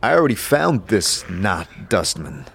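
A lowish, unhurried voice of a male actor as a wry wandering hero. He is blunt and offhand with hesitant pauses, lively in pitch, in a fantasy audio drama over faint music. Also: vocal fry, slightly rough, exaggerated voice. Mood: friendly, offhand.

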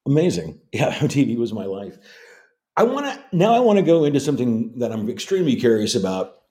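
A man's voice: medium pitch, very animated, brisk, darkish tone. A man hosting an interview, eager and curious, talking casually with loose phrasing. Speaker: interview host.

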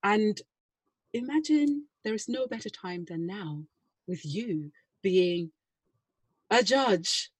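A woman's voice: medium pitch, animated, slow, long pauses, breathy edge, no room sound. A woman hosting a podcast, moving between scripted introduction and informal interview chat. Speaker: podcast host.

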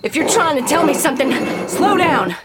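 A woman's voice: sits high, very animated, brisk, heavy background noise. Gutsy, spooked Southern woman. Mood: spooked, commanding. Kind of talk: old-time radio drama acting.

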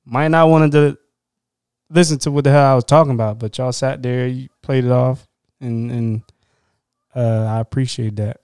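A man co-hosting a podcast, asking questions in a supportive way with quick asides and filler words. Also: mid-range voice, fairly even intonation, a little mellow, smooth, no room sound, studio quality. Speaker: podcast co-host. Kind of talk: casual podcast chat.

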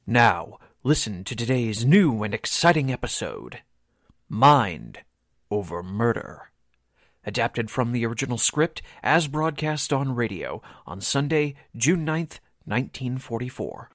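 A man's voice: medium pitch, big pitch swings, measured pace, a bit bright, no echo, clean studio sound. A man announcing a radio drama in a theatrical old-time scripted style.

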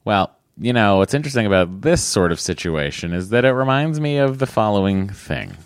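A man co-hosting a podcast, giving thoughtful, measured answers to listener letters. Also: lowish voice, animated, clear, resonant tone, dry recording, studio quality. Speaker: podcast co-host. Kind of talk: casual podcast chat.

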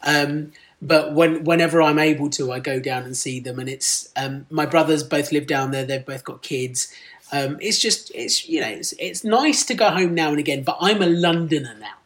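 A man's voice: fairly high pitch, normal speed. Laughing, chatty man in his forties. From Kent, England. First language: Punjabi. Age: 40s. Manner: laughs often, lively storyteller. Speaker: guest. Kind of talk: casual interview chat.

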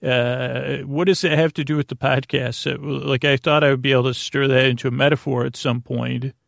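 A man hosting a bedtime podcast in a casual, rambling monologue, halting and drifting off into tangents, with a creaky voice. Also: mid-range voice, steady tone, a bit bright, dry recording, clean studio sound. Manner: meandering and halting, trails off into tangents. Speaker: podcast host.

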